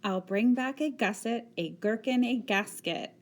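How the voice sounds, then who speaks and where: A woman's voice: a little high, unhurried. A woman on staff reading a rhyming storybook aloud.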